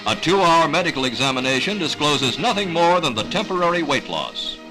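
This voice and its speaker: An American man reading scripted 1960s newsreel narration in a dramatic, measured announcer delivery. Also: a little high, sing-song, rough recording. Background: music.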